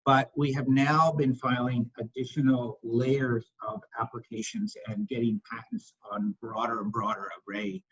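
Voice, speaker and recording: A husky man speaking as a company executive, answering questions on an investor call in a halting way, repeating words for emphasis. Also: medium pitch, fairly even intonation. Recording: dull, lo-fi conference call audio.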